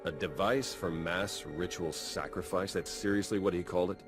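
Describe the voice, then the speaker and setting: An actor playing a blunt, casual soldier in a science fiction game cutscene. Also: male voice, low-pitched, steady tone, moderate pace, over music, natural voice. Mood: dry. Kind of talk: video game cutscene acting.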